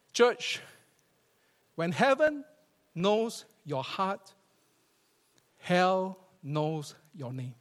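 A man with a Singaporean accent preaching a church sermon as a pastor, emphatic and repeating phrases for effect. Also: a little high. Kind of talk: church sermon with scripture reading and storytelling. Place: large church hall, preaching to a congregation. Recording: reverberant hall.

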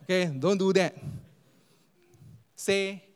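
A man with a Singaporean English accent preaching a sermon as pastor, animated and emphatic, repeating phrases for effect. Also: high voice, slow, clean tone. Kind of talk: sermon to a congregation. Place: church auditorium. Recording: long reverb of a large church hall.

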